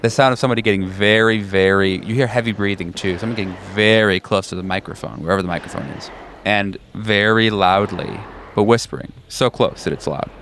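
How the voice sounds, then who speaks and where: A man running a tabletop horror game, narrating scenes in a deliberate, descriptive way. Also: on the low side, fairly bright tone, slight background noise. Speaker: game master.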